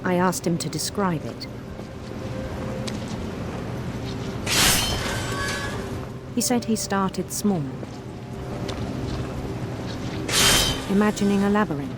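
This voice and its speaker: A middle-aged woman playing a measured, concerned psychiatrist, narrating a letter deliberately in a game. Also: medium pitch, lightly acted. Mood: hushed wonder. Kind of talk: scripted game narration, letter read aloud. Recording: loud music over the voice.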